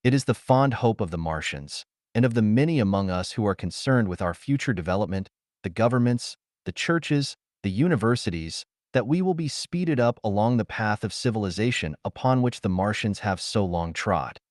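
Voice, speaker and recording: Pompous, excitable showman boss played by a male narrator, grandiose and swooping, dry pulp audiobook sound. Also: on the low side, moderate pace, no echo, lightly acted. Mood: grand, solemn. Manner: sonorous, dignified, grandiose announcing.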